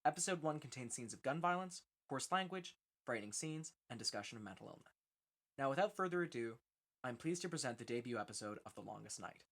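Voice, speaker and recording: A man's voice: mid-range voice, animated, fast, fairly bright tone. A man reading a scripted introduction as presenter, formal and earnest. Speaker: presenter introducing a radio play. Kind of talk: scripted announcement.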